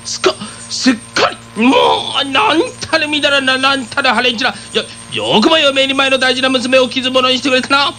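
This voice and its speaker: A man's voice: very high-pitched, steady tone, moderate pace, hardly any pauses, sharp tone. A stubborn, old-fashioned father in a comic radio play. He blusters pompously in old-man diction and trips over his words when scandalised, with music underneath. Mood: shocked, flustered. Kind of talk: comic radio drama acting.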